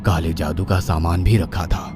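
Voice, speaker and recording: A man's voice: deep voice, fairly even intonation, normal speed. A man giving a dramatic, scripted narration of a horror story. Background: music.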